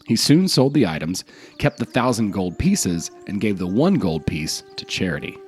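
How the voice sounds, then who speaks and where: A man reads folk tales in a fairly low voice with very animated intonation. It is scripted storytelling, set over faint background music. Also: normal speed, slightly rough. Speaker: narrator, storyteller. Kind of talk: scripted folk tale narration.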